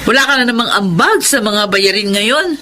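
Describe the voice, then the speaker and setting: A woman's voice: mid-range voice, lively intonation, moderate pace, background noise, natural voice. A woman playing a bitter, scolding older sister in a drama, sarcastic and belittling. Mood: angry, mocking. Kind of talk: emotional Filipino audio drama acting.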